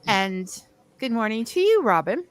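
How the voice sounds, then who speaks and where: A woman hosting a casual online talk show, chatty and unhurried, laughing often as she introduces her guest. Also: a little high, sing-song. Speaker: host.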